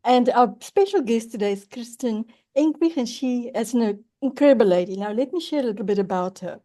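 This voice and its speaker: A woman's voice: a little high, animated, fairly quick, dark tone. A woman hosting a video-call interview, introducing her guest in an effusive, emphatic way. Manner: effusive, emphatic, spiritual phrasing. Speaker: host.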